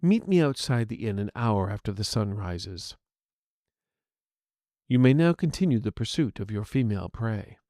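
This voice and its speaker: A man's voice: lowish voice, animated, mellow, dry recording, studio quality, close to an everyday voice. Man voicing a scornful, proud aging wizard villain. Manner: formal, measured, scornful speech. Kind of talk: dramatic fantasy storytelling.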